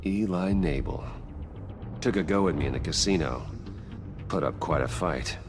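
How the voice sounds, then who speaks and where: An actor playing a brooding, serious vigilante in a video game, measured and grimly determined. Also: male voice, rumbling low, over music. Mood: grimly focused. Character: brooding male billionaire vigilante, adult, grimly determined and analytical.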